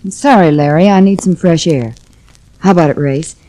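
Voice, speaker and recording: A woman's voice: lowish voice, normal speed, warm tone, close to an everyday voice, poor audio. An actress playing a forward, coaxing young woman in a 1940s radio drama. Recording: restored old radio broadcast with noise.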